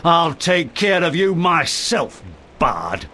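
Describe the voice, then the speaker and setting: A slow, gloating villain. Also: male voice, fairly high pitch, sing-song, bright, forceful tone, slight background noise, exaggerated voice. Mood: menacing. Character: menacing male villain, arrogant and threatening. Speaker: villain confronting the hero.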